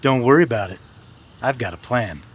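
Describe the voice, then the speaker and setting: A man playing a proud, prickly cowboy father in a western radio drama, talking in a terse, gruff manner. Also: medium pitch, fairly quick, lightly acted. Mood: terse, dismissive. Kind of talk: old-time western radio drama acting.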